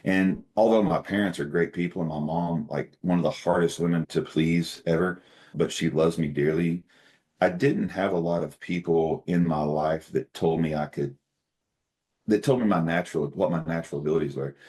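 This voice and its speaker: Guest CEO, middle-aged man, booming deep bass, folksy and candid. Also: fairly even intonation, moderate pace, dark tone. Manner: folksy, candid storytelling with self-deprecating humor. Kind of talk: casual interview conversation.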